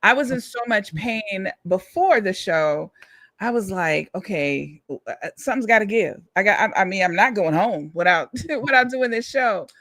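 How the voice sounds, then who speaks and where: A woman with a slightly raspy voice, speaking earnestly and encouragingly as a singer being interviewed in a heartfelt conversation. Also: medium pitch, animated, normal speed, fairly bright tone, no room sound. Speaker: guest singer and songwriter.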